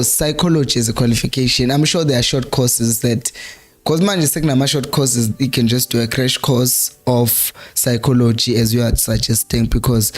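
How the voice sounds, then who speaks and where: Hoarse South African man chatting football. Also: medium pitch. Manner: chatty, laughs often, switches between languages mid-sentence. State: hoarse, losing his voice. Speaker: fan channel host. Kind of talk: casual live-stream football chat.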